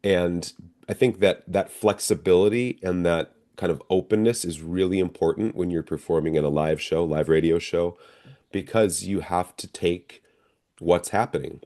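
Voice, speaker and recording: A man's voice: low voice, normal speed, dark tone. A middle-aged man, an actor, answering interview questions in a reflective, conversational way. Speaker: stage and screen actor, interview guest.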